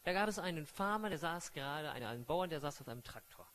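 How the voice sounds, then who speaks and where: High-voiced male sermon interpreter. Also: lively intonation, fast talker, fairly bright tone. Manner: fluent paraphrasing with frequent fillers and self-corrections.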